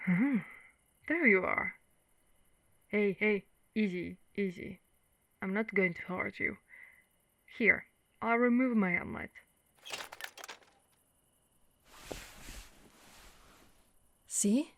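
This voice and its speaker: A woman's voice: mid-range voice, takes it slowly, vocal fry, long pauses, lo-fi sound. A woman voicing a caring, wry female Dullahan, an undead knight, in a reassuring, teasing, conversational ASMR monster roleplay. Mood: soothing, flustered. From Italy. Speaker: voice actress.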